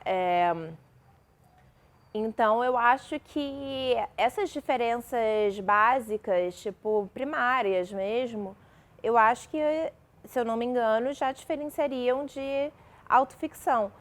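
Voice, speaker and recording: Young Brazilian woman novelist, chatty interview guest, fairly high pitch. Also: takes it slowly. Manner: chatty, many fillers and restarts. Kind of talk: casual interview talk.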